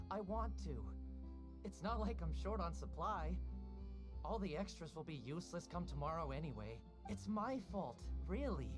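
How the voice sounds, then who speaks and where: A flustered young newspaper seller, voiced by a woman in a game, nervous and quick to apologize. Music plays clearly behind her. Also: lowish voice, lightly acted.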